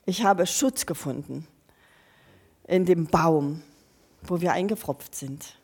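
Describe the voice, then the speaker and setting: A woman's voice: lowish voice, slow, a little mellow, husky. A woman giving a sermon, earnest and personal, speaking straight to her listeners and often restarting or repeating her phrases as she thinks aloud. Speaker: preacher.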